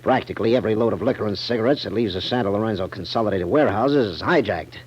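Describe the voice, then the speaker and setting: An actor playing a brisk, formal, emphatic civic chairman in a 1940s radio drama. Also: on the low side, slightly rough. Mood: frustrated. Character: brisk, assertive male civic committee chairman. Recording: muffled 1940s radio recording.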